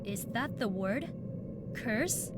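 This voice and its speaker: A woman's voice: fairly high pitch, expressive, takes it slowly, heavy background noise. A woman voicing a vain, blunt, skittish female exchange student in a visual novel, in short, clipped statements. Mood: uneasy.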